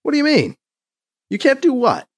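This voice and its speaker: An impatient, irreverent former pope from an audiobook, played by a male narrator. His pitch is raised and swoops widely, and he talks in blunt, sarcastic exclamations. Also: wildly swooping pitch, moderate pace, full character voice. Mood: eager.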